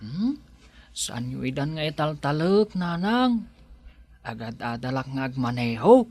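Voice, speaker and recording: A man playing an earnest young husband and son in a radio drama, with a bright, forceful voice. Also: mid-range voice, big pitch swings, a little slow. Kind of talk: Ilocano radio drama acting.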